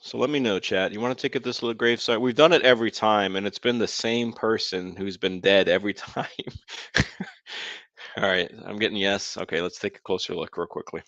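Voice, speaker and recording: Low-voiced chatty game streamer. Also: male voice, a little fast, fairly bright tone, no room sound. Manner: chatty, laughs often, talks to chat. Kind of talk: casual live-stream commentary. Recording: dull lo-fi dry sound.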